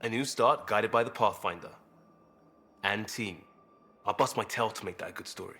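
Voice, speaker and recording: Low-voiced, easygoing former cop. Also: male voice, moderate pace, bright, forceful tone, slight background noise. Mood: earnest, hopeful. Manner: casual, blunt, wry. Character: easygoing earnest male crisis responder, former cop. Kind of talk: video game cutscene dialogue.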